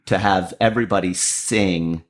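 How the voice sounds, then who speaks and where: A man with a deep voice, a comedian and lawyer telling a self-deprecating story as a podcast guest. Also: unhurried. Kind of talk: casual storytelling.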